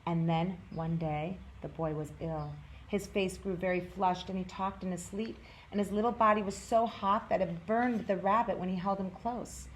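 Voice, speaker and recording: A woman's voice: mid-range voice, fairly even intonation, normal speed, hardly any pauses, rough recording. A woman volunteer reading a children's story aloud as narrator. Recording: clear background noise.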